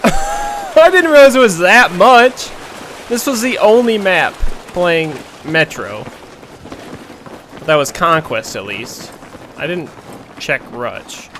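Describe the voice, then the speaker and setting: Male streamer, hoarse and losing his voice, unhurried chat, fairly high voice, game gunfire loud behind him. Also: a little hiss or hum. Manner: chatty, reads chat aloud, bursts into loud excited exclamations. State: losing his voice, sore throat. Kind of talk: casual gaming stream chat. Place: at home playing a console shooter. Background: game gunfire, artillery and vehicles.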